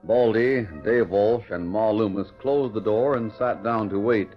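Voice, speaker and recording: A man's voice: fairly low pitch, measured pace. A man narrating a 1940s radio drama in a scripted announcer style. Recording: dull vintage radio recording with music. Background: music.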